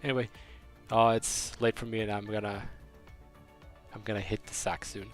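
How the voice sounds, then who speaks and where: A man's voice: fairly low pitch, level tone, moderate pace, faint music behind. A young man from Germany with a slight German accent, chatting casually on a game stream and rambling through a story with many restarts. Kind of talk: casual stream chat, reading chat.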